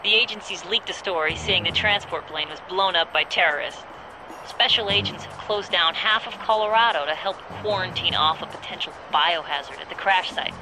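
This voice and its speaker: Game radio contact, played by a woman: composed, dry-witted female agent, informative, very loud music behind. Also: lowish voice, normal speed, natural voice, low-quality recording. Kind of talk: video game cutscene acting.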